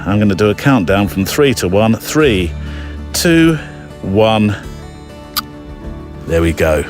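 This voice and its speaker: A man's voice: fairly low pitch, animated, slow, faint music behind. A man hosting a podcast in a casual, rambling, enthusiastic and self-deprecating way. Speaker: podcast host. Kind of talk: casual chatty podcast presenting.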